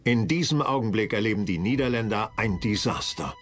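A man's voice: lowish voice, expressive, a little fast. A man narrating a historical documentary from a script in a dramatic storytelling style. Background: music.

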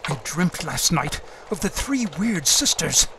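Male actor as wary noble lord, slow measured verse, radio drama, some ambient noise behind. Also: on the high side, very animated. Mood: courteous. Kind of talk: Shakespearean radio drama acting. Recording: clear background ambience noise.

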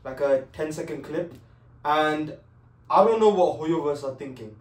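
A man's voice: fairly high pitch, unhurried. A British man in his twenties hosting a reaction video, chatting in slangy, disbelieving tones. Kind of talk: casual chat. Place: living room sofa. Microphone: far camera. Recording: echoey room, distant camera mic.

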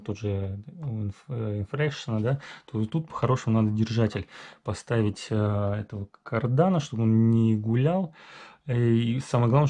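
A man casually walking through a new RC truck in a workshop, talking haltingly with many fillers and self-corrections. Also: mid-range voice, warm tone. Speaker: RC hobby reviewer. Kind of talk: casual unboxing walkthrough. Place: indoor garage workshop.

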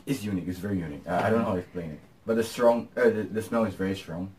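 Soft-spoken young man from Singapore. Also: low voice, rapid-fire. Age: young adult. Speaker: student guest. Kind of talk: casual chat. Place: small indoor room by a window.

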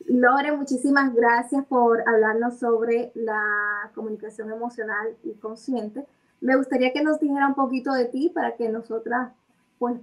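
A woman's voice: fairly high pitch, distant mic, poor audio. A woman in her thirties hosting a podcast, thanking and questioning her guest over a video call. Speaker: podcast host. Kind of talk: casual interview chat. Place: home office with bookshelves.

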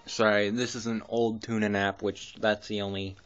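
A man's voice: lowish voice, level tone, normal speed, fairly bright tone, faint music behind. A man giving casual, rambling running commentary as a radio hobbyist, often hesitating and correcting himself. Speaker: amateur weather radio hobbyist and vlogger. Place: indoors in a room at home. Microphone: handheld camera mic, speaker behind the camera. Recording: muffled camera audio with background hiss.

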